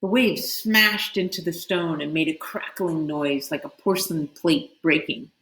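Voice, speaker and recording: A woman reading a story aloud as an expressive narrator, with deliberate, dramatic emphasis. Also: low-pitched. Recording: echoey room.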